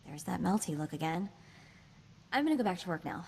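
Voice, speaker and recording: Sardonic young spacefaring hacker, ambient noise behind. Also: female voice, fairly low pitch, very animated, a little fast, creaky, darkish tone. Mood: reluctant. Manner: sardonic, chatty. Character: young woman, quick-witted sardonic spacefaring hacker companion. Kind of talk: video game voice acting.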